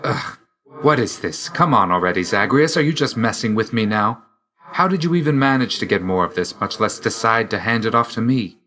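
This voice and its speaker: An actor playing a brooding young god of death in a video game, speaking in a reserved, dry, measured and formal way. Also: male voice, on the low side, expressive, pushed and bright. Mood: irritated, flustered. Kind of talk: video game character dialogue.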